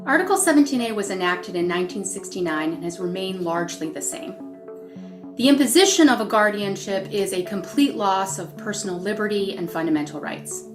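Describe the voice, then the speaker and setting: A woman advocate gives a firm, earnest scripted statement. Music plays clearly beneath her voice. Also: medium pitch, lively intonation, fairly quick.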